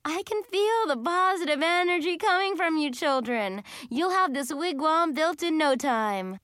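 A woman narrator plays a dreamy, earnest hippie woman who guides children in an audiobook. She speaks in slow, deliberate phrases with a soothing manner, and her pitch moves around freely in a close, dry recording. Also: expressive, a bit bright, no room sound. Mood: sweetly encouraging.

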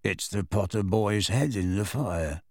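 A man's voice: on the low side, unhurried, darkish tone, no room sound, clean studio sound, voice pushed down. A man voicing a gleeful, wheezy old male house elf who mutters slyly. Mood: sly gloating. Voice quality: wheezy, cackling. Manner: sly, muttering.

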